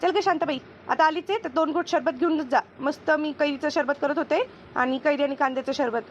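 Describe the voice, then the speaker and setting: A woman's voice: sits high, steady tone, fairly quick, lo-fi sound, background noise, lightly acted. A woman voicing a chummy, grateful village housewife in a cartoon comedy, chatty and homely, with a rural Maharashtrian accent. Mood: hospitable, coaxing. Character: slower-moving village housewife Renu, grateful, chummy best friend.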